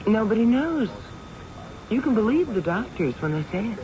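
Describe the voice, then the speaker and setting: A woman's voice: a little high, sing-song, moderate pace, dark tone. Coy, tipsy woman, muffled vintage radio. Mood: hesitant, evasive. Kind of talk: old-time radio drama acting. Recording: muffled, noisy vintage radio drama recording.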